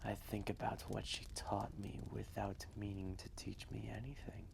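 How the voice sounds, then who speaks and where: A man playing an ancient, wise and gentle dragon, telling a story in a hushed, breathy, measured voice with long pauses. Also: lowish voice, monotone, whispered, low-quality recording. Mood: reflective, solemn. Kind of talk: slow intimate storytelling roleplay, ASMR-like.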